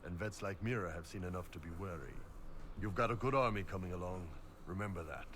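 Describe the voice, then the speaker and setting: An actor voicing a gruff, supportive warrior companion in a fantasy video game, plain-spoken and steady. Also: male voice, sits low, quick pace, slight background noise. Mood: steady, reassuring. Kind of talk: video game character acting.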